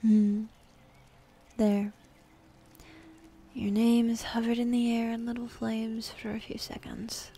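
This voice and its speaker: A woman playing a bossy, jaded female demon in an ASMR roleplay, speaking softly and often half-whispering, with dismissive sighs. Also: fairly high pitch, monotone, takes it slowly, pauses often. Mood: casual, indifferent.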